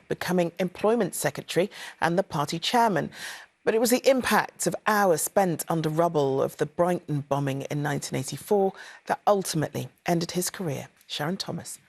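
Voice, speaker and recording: A woman's voice: low voice, gravelly. A woman presenting the news, reading a scripted introduction to a report.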